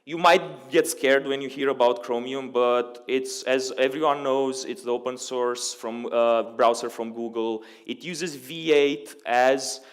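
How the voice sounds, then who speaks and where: A man's voice: mid-range voice, fairly even intonation, a little slow, hardly any pauses. A young Romanian man giving a conversational technical conference talk, with frequent ums and uhs. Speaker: conference speaker. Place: conference hall. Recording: long hall reverb.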